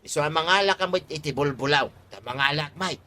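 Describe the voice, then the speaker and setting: A man's voice: on the high side, a little fast, sharp tone. A man playing a cocky, crafty, cajoling pal in an Ilocano comedy drama, with an Ilocano accent. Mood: sly, amused.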